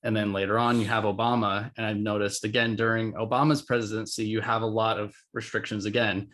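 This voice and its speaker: A man hosting a casual podcast interview, speaking haltingly as he searches for words. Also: lowish voice, level tone, fairly bright tone. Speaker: podcast host.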